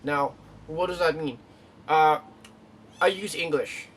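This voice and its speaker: A man's voice: on the high side, slow pace, a bit bright. A young man from the Philippines, vlogging casually in a rambling way, with many fillers and restarts. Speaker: YouTuber. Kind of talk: casual vlog talk to camera. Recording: distant mic with faint room noise.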